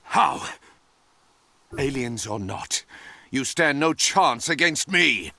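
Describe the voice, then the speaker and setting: Grandiose evil magician, wildly swooping pitch. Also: male voice, expressive, takes it slowly, creaky, pushed and bright, a little hiss or hum, heavily acted. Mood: menacing. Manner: grandiose, theatrical, ranting. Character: arrogant, vengeful evil male magician villain. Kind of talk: cartoon video game acting. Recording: music under the voice.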